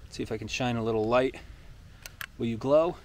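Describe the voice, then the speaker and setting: Man host, middle pitch, hammy storyteller tour, faint noise outdoors. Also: a little fast. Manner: theatrical, storybook-like exclamations. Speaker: host giving a guided walk-through. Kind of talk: playful narrated tour. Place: outdoor trail at night.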